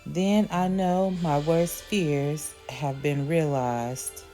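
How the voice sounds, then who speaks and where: A woman reads a storybook aloud at an unhurried, deliberate pace, her tone warm and mellow and pitched on the low side. She tells it with careful expression, and soft music plays faintly behind her. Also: steady tone. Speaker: children's book reader and channel host.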